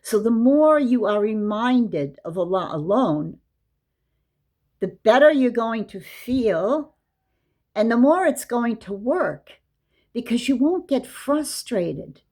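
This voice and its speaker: A woman's voice: a little high, big pitch swings, slow, warm tone. A woman giving a casual religious lesson, explaining verses and reciting Arabic. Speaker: Quran teacher.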